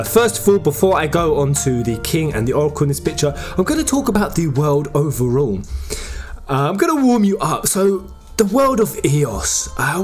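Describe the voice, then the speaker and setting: A man with a slightly high voice delivers casual fan-theory commentary as a gaming channel host. His talk is slangy and excitable, building suspense as he goes. Faint music plays behind him. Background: background music.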